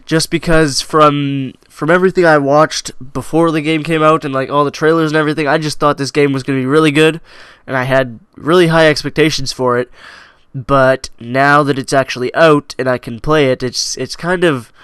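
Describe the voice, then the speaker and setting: A young man with a slight cold rambles through a casual game review, pausing and restarting his sentences as he goes. His voice sits a little high and stays smooth and clear. He is recorded close and dry, with no room sound. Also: steady tone, a bit bright, no room sound. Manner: rambling with many likes and restarts. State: coming down with a cold. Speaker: gaming channel host.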